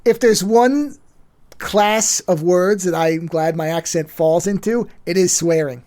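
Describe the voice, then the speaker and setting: A man's voice: high voice, unhurried, darkish tone. A man with a New York accent, co-hosting a podcast in casual chat, blunt and swearing freely. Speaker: podcast co-host.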